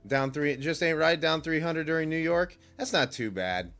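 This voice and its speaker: A man's voice: on the high side, moderate pace, vocal fry, a bit bright, soft background music. A man with a slightly stuffy, congested nose hosts a live trading stream, chatting casually and commenting on the markets in a laid-back, rambling, informal way. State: stuffy nose and cough from a cold. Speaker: livestream host, futures day trader.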